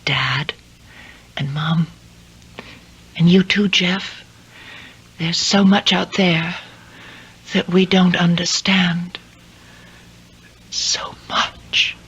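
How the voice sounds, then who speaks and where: An actress playing a dreamy, wonderstruck young daughter in a 1970s radio drama, speaking in a hushed, halting way. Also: female voice, on the low side, steady tone, breathy edge, exaggerated voice. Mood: hushed awe. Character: awed, dreamy young daughter who has seen the ghost. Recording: dull, noisy old radio recording.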